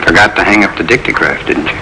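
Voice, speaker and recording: An actor playing a belligerent, impatient American bomber with sneering, slangy talk in an old police radio drama. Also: male voice, low voice, quick pace, very noisy, lightly acted. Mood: brooding, sly.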